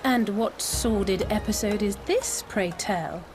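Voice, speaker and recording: A woman's voice: medium pitch, measured pace, music in the background, natural voice, rough recording. An actress playing a confident, inquisitive female adventurer in a video game cutscene. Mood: wry, curious.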